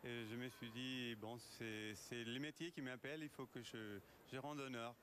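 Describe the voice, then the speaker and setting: A man from Argentina with a mixed Italian and Spanish accent, answering an interview about his work in halting phrases. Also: medium pitch, steady tone, a bit bright. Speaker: leather goods designer being interviewed. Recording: noisy on-location event sound.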